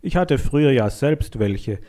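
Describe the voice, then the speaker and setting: A friendly, fatherly dairy inspector in a children's audiobook, read by a man. He is genial and gently teasing, with a mellow voice of middling pitch. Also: expressive, a little slow. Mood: modest, calm. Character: friendly, fatherly milk inspector, good-humoured uncle.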